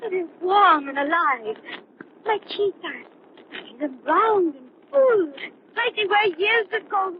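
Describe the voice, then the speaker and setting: An actress playing an older woman full of halting, breathless wonder, in 1930s radio drama style. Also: extremely high pitch, expressive, exaggerated voice. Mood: ecstatic amazement. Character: ailing older woman amazed at growing younger. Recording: tinny 1930s radio recording, hiss.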